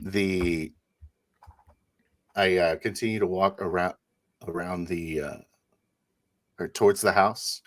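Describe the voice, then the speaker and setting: Very deep, slow-paced man narrating. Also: dry recording, studio quality. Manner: halting, deliberate. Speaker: tabletop roleplaying player. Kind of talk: improvised first-person game narration.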